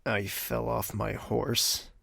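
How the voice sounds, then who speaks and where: An actor playing a weary, guarded young prince in an audio drama. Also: male voice, on the low side, little pitch movement, slow, vocal fry, fairly bright tone, no echo, clean studio sound, exaggerated voice. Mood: weary, wry. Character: wary, weary young prince recovering from confinement.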